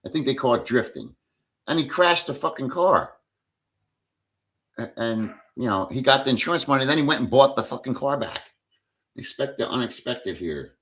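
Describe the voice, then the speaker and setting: A man's voice: medium pitch, fairly bright tone. A middle-aged man hosting a casual livestream, chatting bluntly and reading out viewer comments, swearing freely as he rambles. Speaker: livestream host.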